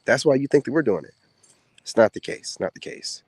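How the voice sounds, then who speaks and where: A soft-spoken man, a former soldier, talks casually to the camera to his women viewers in a relaxed, drawling way with many fillers. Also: mid-range voice, fairly quick, slightly rough, dry recording. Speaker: content creator speaking to women viewers. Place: outdoors.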